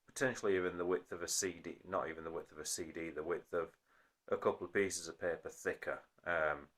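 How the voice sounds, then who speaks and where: A man's voice: low-pitched, a bit bright. A man talking casually to a phone as a hobbyist explaining a repair, rambling and often correcting himself apologetically. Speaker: hobbyist video maker. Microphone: phone recording.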